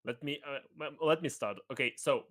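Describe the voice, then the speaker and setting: A man chatting as a guest in a casual debate, his voice of middling pitch. He rambles through restarts and fillers, laughs often and swears offhandedly. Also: a little fast, fairly bright tone.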